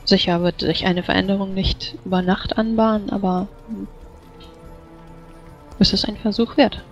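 A woman's voice: mid-range voice, steady tone, measured pace, faint music behind. Female role-player, level delivery, careful phrasing, slightly muffled recording, improvised in-character talk. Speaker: tabletop role-playing player. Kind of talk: improvised in-character dialogue in a tabletop game.

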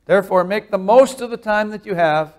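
Older pastor preaching with dramatic swings. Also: male voice, high voice, a little fast, clear, resonant tone. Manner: animated preaching, wide swings from loud emphasis to soft hush; gestures with both hands while speaking. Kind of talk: sermon. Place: small chapel room with a cross and lectern.

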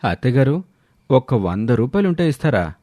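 A man acting wheedling freeloader son-in-law, fawning, overly respectful, very animated intonation, story dialogue, dry sound. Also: mid-range voice, normal speed, no room sound. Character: wheedling, shameless, flattering idler son-in-law.

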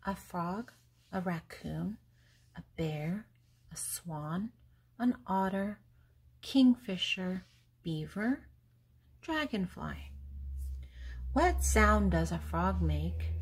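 An older woman reading a picture book aloud to young children in a deliberate, teacherly way, posing questions and answering them, with pauses between words. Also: mid-range voice, animated, slightly rough.

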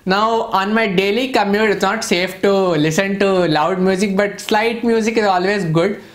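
Young Indian man, high-pitched for a man. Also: fairly even intonation, normal speed. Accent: Indian English. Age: 20s. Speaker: product reviewer. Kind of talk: casual to-camera gadget review.

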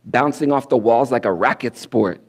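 A man's voice: medium pitch, normal speed. A man in his thirties performing spoken word poetry on a church stage through a headset mic. He speaks in a rhymed, sing-song cadence that swells, then drops to near-whispers. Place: indoor church stage with curtains.

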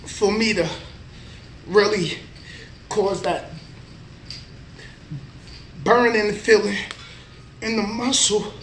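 Man in his thirties, plain-spoken motivational vlogger, fairly high voice, a bit off mic. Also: drawn-out words, creaky, pauses often, fairly bright tone, far from the mic, slight background noise. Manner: motivational, plain-spoken, talks between sets. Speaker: vlogger, trucker. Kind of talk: casual workout vlog. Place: small indoor gym. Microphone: camera mic from a few feet away. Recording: echoey room, voice a bit off mic.

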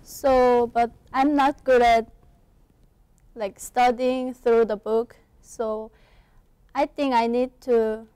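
A young woman from South Korea speaking English with a Korean accent, answering questions as a student guest in a small college TV studio. She talks in halting, simple phrases and laughs softly often. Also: a little high, little pitch movement, clear, resonant tone, no echo, rough recording. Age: young adult. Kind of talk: studio interview. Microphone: lapel mic.

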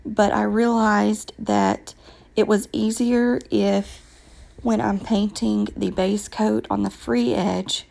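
A woman with a raspy voice from a recent illness, patiently explaining her nail work step by step with frequent ums. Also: medium pitch, lively intonation, slow, darkish tone. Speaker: nail tutorial vlogger. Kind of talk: casual step-by-step nail tutorial voice-over.

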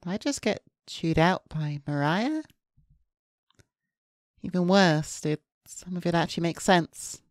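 A woman's voice: fairly low pitch, a little slow, pauses often, no room sound, studio quality. A woman reading a visual novel's first-person narration aloud as a VTuber. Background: music.

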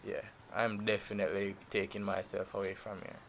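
Laid-back Jamaican man, low voice. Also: level tone, brisk, creaky, a bit bright, a little hiss or hum. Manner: laid-back and rambling, with many fillers and repeats. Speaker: gaming channel host. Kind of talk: casual gameplay commentary.

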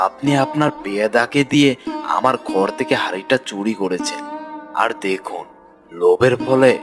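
A man's voice: fairly low pitch, lightly acted. A man voicing a humble village character in a cartoon fairy tale. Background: music.

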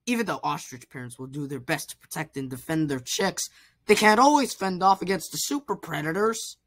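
A woman's voice: deep voice, expressive, fairly quick. A woman voicing an earnest, slightly exasperated male nature adventurer in an amateur cartoon fan dub.

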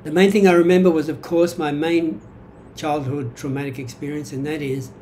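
Older Australian-accented man, mellow voice. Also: on the high side, steady tone, normal speed, slight background noise. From Greek-born, grew up in Australia. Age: 70s. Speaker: vlogger sharing personal history. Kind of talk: reflective monologue from notes. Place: small indoor room at home.

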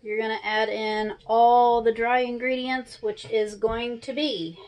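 A woman's voice: fairly high pitch, level tone, measured pace, darkish tone, low-quality recording. A woman in her thirties giving a casual, chatty cooking tutorial at home, talking through each step. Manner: chatty, instructional. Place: small home kitchen, indoors. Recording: clear background noise and clicks.

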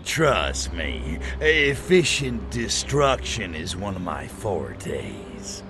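A man's voice: deep voice, sing-song, very slow, vocal fry, music in the background, full character voice. A man playing a cocky, slangy, wisecracking alien invader in a video game cutscene. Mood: smug. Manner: brash, slangy wise guy.

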